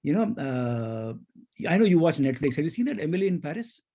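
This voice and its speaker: A man's voice: on the high side, sing-song, fairly quick, warm tone. A man with an Indian accent hosting a conversational podcast interview, speaking in an effusive, reflective way. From India (grew up in Mumbai).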